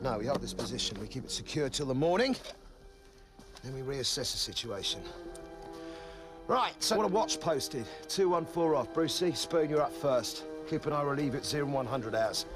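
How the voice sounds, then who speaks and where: A man's voice: mid-range voice, animated, normal speed, music in the background, natural voice. An actor playing a foul-mouthed army sergeant who gives curt, commanding orders to his squad. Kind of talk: film dialogue.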